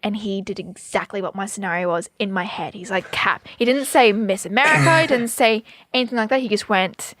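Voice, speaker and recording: A woman's voice: mid-range voice, brisk, hardly any pauses, a bit bright, no echo. A young woman co-hosting a podcast, telling a story in a casual way with hesitant fillers. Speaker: podcast co-host.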